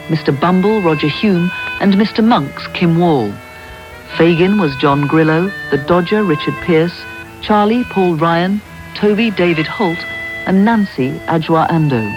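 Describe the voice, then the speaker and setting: British male radio announcer over music. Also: a little high. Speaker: radio announcer reading closing credits. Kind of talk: scripted broadcast announcement. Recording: dull medium-wave radio recording. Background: loud music.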